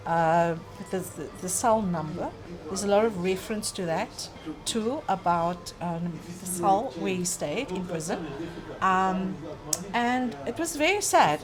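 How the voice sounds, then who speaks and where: South African woman, earnest and thoughtful. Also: mid-range voice. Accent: South African English. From Cape Town, South Africa. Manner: earnest, thoughtful, with frequent pauses and fillers. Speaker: guest. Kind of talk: conversational interview.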